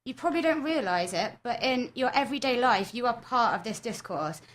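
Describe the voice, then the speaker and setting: A woman's voice: a little high, expressive, brisk. A young woman, a final-year student, presenting her research on a student radio show from a prepared script, addressing listeners directly. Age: young adult.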